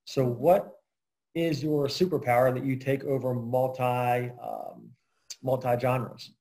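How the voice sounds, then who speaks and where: A man's voice: medium pitch, level tone, takes it slowly, gravelly, warm tone. A man hosting an interview podcast, talking casually with a lot of fillers and restarts. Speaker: podcast host. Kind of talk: conversational interview.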